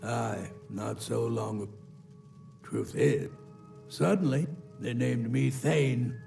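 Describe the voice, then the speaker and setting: An actor playing a bitter, aggrieved old deposed Nord jarl in a video game, with a gravelly voice and an emphatic delivery. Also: male voice, mid-range voice, fairly even intonation, very slow, dark tone, faint music behind, full character voice. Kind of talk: video game character dialogue.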